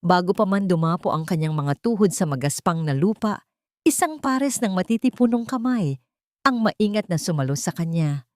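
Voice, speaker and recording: A woman with a Filipino accent reading a scripted dramatic story in a measured, solemn way. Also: lowish voice, animated, darkish tone, dry recording, clean studio sound. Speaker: storyteller, narrator.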